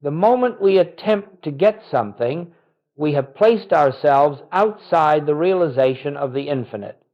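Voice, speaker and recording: A man's voice: on the high side, expressive. Solemn man guiding a meditation, slightly muffled. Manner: solemn, measured, reverent delivery with deliberate phrasing. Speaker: spiritual teacher leading a guided meditation. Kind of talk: guided contemplation, scripted spiritual talk.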